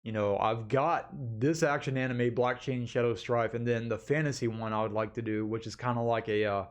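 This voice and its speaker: A man with a mid-pitched voice talks in a casual vlog style. He explains things informally and directly to his listeners, with a folksy, conversational way of putting them. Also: steady tone, a little fast, clear, resonant tone. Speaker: amateur animation creator hosting an update video.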